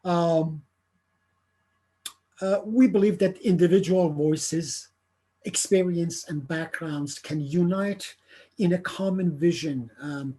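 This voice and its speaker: Man, middle-aged, husky voice, lecture delivery, halting with many fillers. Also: fairly high pitch, warm tone. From Iran. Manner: halting, many filler sounds and restarts, earnest. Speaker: theatre artistic director giving a lecture. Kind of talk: prepared lecture talk.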